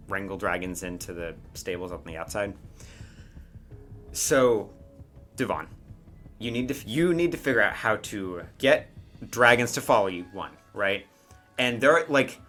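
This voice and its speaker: A man with a fairly low voice and a bright, forward tone runs a tabletop role-playing game. He narrates off the cuff in a conversational style, often checking that his players follow. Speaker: game master.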